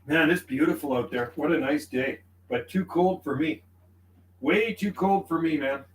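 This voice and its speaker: Higher-voiced man on CB radio. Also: normal speed. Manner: folksy, repeats phrases, radio slang. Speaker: CB radio operator and livestreamer. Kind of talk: casual radio chat and livestream commentary. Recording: roomy sound with faint background noise.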